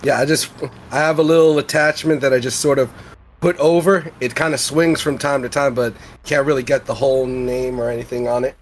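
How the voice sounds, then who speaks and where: A man's voice: mid-range voice, steady tone, a little fast, slight background noise. A man playing in a tabletop roleplaying game, chatting casually in character. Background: background music.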